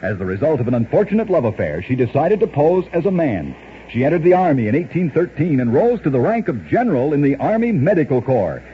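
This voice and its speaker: A man reading strange-fact stories in a brisk scripted radio announcer style, from an old radio show recording. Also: on the high side, sing-song, muffled recording, slight background noise. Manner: brisk announcer delivery of odd facts.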